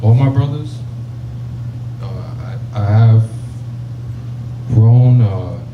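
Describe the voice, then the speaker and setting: A deep-voiced young man speaks slowly and softly, pausing often between phrases. His voice sits low. The sound is dull and echoey, with noise behind him. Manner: slow, halting, soft-spoken. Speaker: panelist. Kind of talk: panel testimony.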